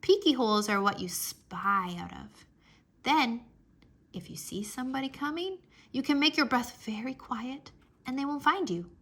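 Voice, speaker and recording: Woman doing a kids book read-aloud, kinda high voice, super expressive, bright. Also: moderate pace. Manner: animated, childlike first-person storytelling. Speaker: read-aloud narrator for children. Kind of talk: expressive children's storybook narration.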